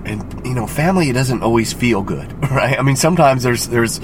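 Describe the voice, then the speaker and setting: A man hosting a faith podcast, talking earnestly and rambling with frequent "right?" asides, his voice gravelly from a cold. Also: mid-range voice, lively intonation, normal speed. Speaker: podcast host. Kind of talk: reflective faith podcast monologue. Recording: loud road and traffic noise.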